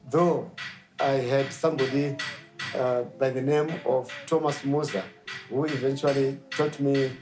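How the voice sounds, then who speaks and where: Slow-spoken man. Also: medium pitch, darkish tone. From Zimbabwe. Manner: slow and reflective, with pauses and fillers. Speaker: mbira player and instrument maker. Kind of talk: documentary interview, personal story.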